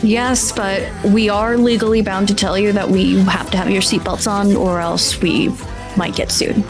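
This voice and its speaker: A woman's voice: mid-range voice, level tone, moderate pace, close to an everyday voice. Woman doing a worn out park staff guy, dutiful and officious, rpg bit, music under it. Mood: weary, officious. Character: tired, rule-bound male theme park employee.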